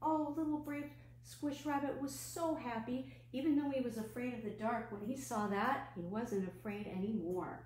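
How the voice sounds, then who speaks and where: A woman children's librarian telling a story to young children in a gentle, expressive way, asking simple questions as she goes. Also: fairly high pitch, moderate pace, few pauses. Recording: roomy echo, clear background noise and hum.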